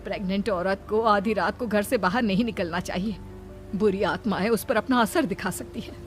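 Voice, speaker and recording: A woman's voice: mid-range voice, moderate pace, faint music behind, natural voice. A woman voicing a concerned, easily startled aunt in her sixties for a horror cartoon, anxious and motherly as she questions. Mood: motherly, cautioning. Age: 60s.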